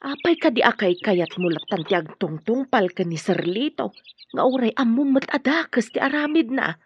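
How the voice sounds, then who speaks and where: A woman's voice: fairly high pitch, animated, moderate pace, natural voice. A woman playing a deferential, concerned household helper in an Ilocano radio drama, respectful and coaxing. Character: deferential, concerned female household helper, plain-spoken and curious. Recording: muffled radio drama recording, faint hiss.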